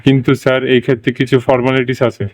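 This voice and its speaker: A man's voice: mid-range voice, completely flat delivery, very fast, a little mellow, dry recording, lightly acted. A man playing a polite scam caller who poses as a company agent, with a Bangladeshi accent and a formal, explanatory manner. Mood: patient, persuasive. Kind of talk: scripted prank phone call dialogue.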